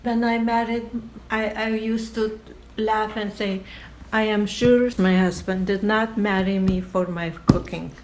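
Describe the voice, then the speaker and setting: Older woman, Lithuanian native, unhurried and careful, faint hiss under the voice. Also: medium pitch, steady tone, low-quality recording. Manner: slow, deliberate, explaining patiently. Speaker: interviewee. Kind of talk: oral history interview.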